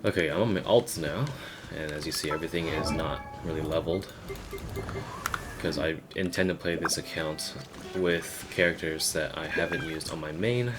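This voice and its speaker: A man's voice: rumbling low, steady tone, moderate pace, over music. A man giving casual gameplay commentary as a video creator, thinking aloud with hesitant phrasing. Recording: noticeable background noise.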